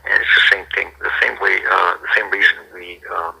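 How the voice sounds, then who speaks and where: Low, gravelly man with a husky edge. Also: steady tone, fairly quick, pushed and bright, low-quality recording. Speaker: ministry staff member answering calls. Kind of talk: casual phone explanation.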